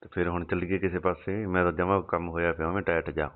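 A man's voice: low-pitched, flat delivery, quick pace. A man chatting casually and intimately on the phone. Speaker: soldier on leave, phone caller. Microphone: phone call. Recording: muffled lo-fi phone call recording.

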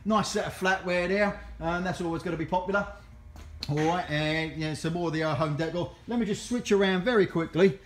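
A man's voice: high voice, fairly quick. A man with a Cockney accent giving a jokey, rambling walk-round of items before an auction, filmed on a handheld phone in a large indoor warehouse. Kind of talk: casual walk-through commentary. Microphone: handheld phone camera, speaker off-screen. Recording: echoey warehouse room.

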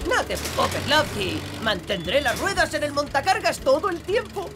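A woman's voice: high voice, big pitch swings, moderate pace, background noise. A woman voicing an eager young digger machine in a Castilian Spanish children's cartoon dub. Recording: music behind the voice.

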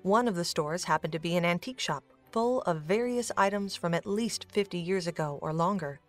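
Lowish-voiced woman narrating a story.

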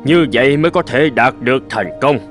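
A man playing a stern but fair middle-aged father in a cartoon, speaking in a measured, earnest, admonishing way. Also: medium pitch, lightly acted. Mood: firm, advising. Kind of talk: animated moral tale dubbing. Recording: music behind the voice.

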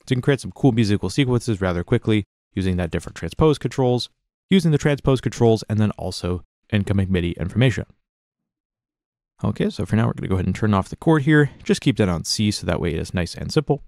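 Man, lowish voice, casual instructor, informal step-by-step explaining, close and dry voice-over. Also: expressive, fast, a little mellow, dry recording. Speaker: software tutorial instructor. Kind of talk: casual step-by-step tutorial narration.

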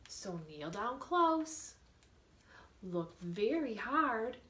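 A woman leading a storytime for young children, reading a picture book expressively and deliberately, drawing out words and asking the children questions. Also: a little high, sing-song, fairly bright tone, slight background noise. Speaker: children's librarian hosting storytime. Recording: echoey room sound.

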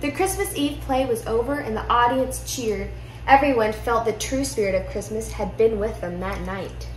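A woman's voice: a little high, normal speed. Young woman reading a storybook, roomy sound. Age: young adult. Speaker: tutor reading a picture book aloud. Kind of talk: children's storybook read-aloud. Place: indoor living room at home. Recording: roomy echo, music behind.

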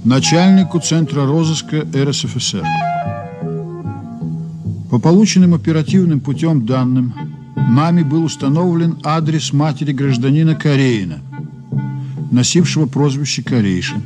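Music plays clearly behind a man with a slightly high voice, an actor as a criminal investigator in a Soviet radio play. He is sober, restrained and formal, keeping to businesslike delivery. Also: moderate pace, pauses often, natural voice. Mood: formal, official. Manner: restrained, matter-of-fact, formal. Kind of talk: Soviet radio drama acting.

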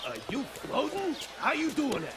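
An actor playing a dim, blustering video game brawler who rambles excitedly. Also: male voice, very high voice, normal speed, heavily acted. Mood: baffled, rambling. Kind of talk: cartoonish video game acting.

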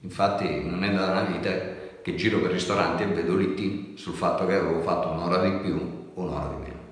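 A middle-aged man from Italy, a chef, talking casually to camera in a kitchen with wry, chatty rhetorical asides. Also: low voice. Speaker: chef and restaurant consultant. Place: indoor kitchen. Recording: very echoey room with long reverb.

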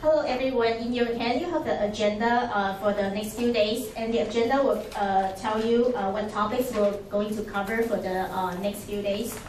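A woman walks trainees through their handouts on a handheld microphone, pausing often on small fillers. Her voice rings through a reverberant conference room, picked up from a distance with faint background noise. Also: fairly high pitch, darkish tone. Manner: friendly, frequent uh pauses. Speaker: workshop organizer. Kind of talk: casual announcements with fillers. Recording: echoey room from far off.

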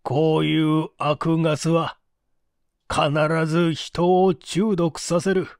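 A man's voice: on the high side, steady tone, takes it slowly, dry recording, studio quality. A man voicing a weary, brooding police chief in an audiobook mystery, with heavy, ponderous, gloomy delivery. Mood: gloomy, pensive. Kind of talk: audiobook character dialogue.

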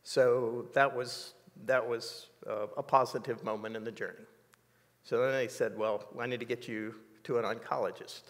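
A man's voice: mid-range voice, steady tone, a bit bright. Reflective older man, studio reverb. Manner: measured, reflective storytelling with many fillers. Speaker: interview guest. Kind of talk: personal testimony in an interview. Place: dark studio set.

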